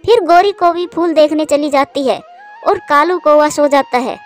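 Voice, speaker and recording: A woman's voice: sits high, moderate pace, soft background music. A woman reading scripted narration for a children's story.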